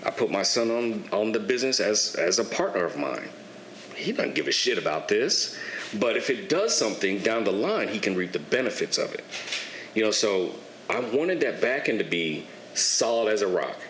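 A man in his twenties, a barber and clothing entrepreneur, talking candidly as a podcast guest with a relaxed, storytelling manner and casual swearing. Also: lowish voice, normal speed. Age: 20s. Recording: echoey room with faint noise.

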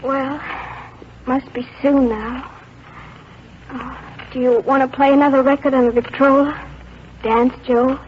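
An actress playing a sweet, easily moved young bride-to-be in a 1940s radio drama style. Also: female voice, sits high, little pitch movement, unhurried, long pauses, slight background noise. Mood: adoring. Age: young adult. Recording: muffled old radio recording, crowd behind. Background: crowd.

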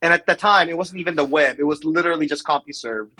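A man in his forties from the Philippines, talking casually as an interview guest and often pausing to reflect. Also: fairly high pitch, pushed and bright, soft background music. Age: 40s. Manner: reflective, with many fillers and restarts.

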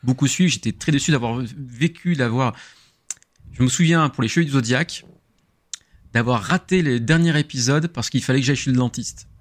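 A middle-aged man speaks as a podcast guest in casual conversation. His voice sits at an ordinary pitch, and he reminisces in a loose, conversational way. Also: fairly quick. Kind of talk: casual chat, reminiscing about cartoons.

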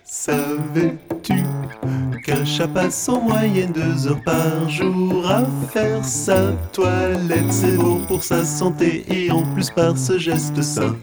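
A woman playing a patient, know-it-all gust of wind in an audio fiction, speaking in a measured, didactic way. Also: on the low side, loud music behind the voice. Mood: patient, pedantic. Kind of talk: French audio fiction acting.

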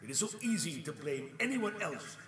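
An actor playing the devil as a polite, soft-spoken man who calls himself the pastor's friend, talking in a calm, measured, slightly preachy way. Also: on the high side, recorded from a distance. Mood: calm lecturing. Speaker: self-styled friend of the pastor. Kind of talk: film drama acting. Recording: roomy sound with music score.

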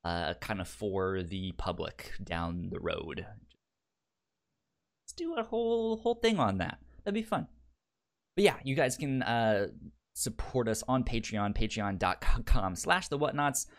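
A man co-hosting a podcast in casual chat, halting and animated, with a frequent stutter on sounds and words. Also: fairly low pitch. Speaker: podcast co-host.

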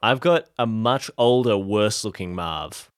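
A man's voice: on the low side, expressive, a little slow. A man playing in an improvised audio drama, chatting casually and thinking aloud as he role-plays.

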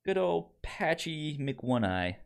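A man's voice: a little high, sing-song, slow, gravelly, smooth. A man co-hosting a podcast in casual review chat, enthusiastic, often stammering and repeating syllables as he goes. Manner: enthusiastic about the material. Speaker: podcast co-host reviewing fiction.

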